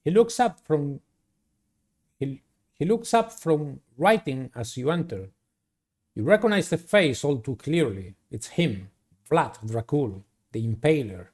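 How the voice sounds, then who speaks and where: A man's voice: fairly high pitch, very animated, a little slow, darkish tone. A man reading a visual novel aloud as a playthrough, with dramatic, theatrical storytelling. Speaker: game player reading aloud.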